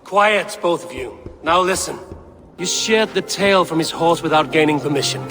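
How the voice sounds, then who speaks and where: A man playing a firm, measured Norse leader in a video game, speaking with curt authority. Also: a little high, lively intonation, pushed and bright, in a large hall, soft background music. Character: firm, weary Norse leader judging a village dispute. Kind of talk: video game drama acting.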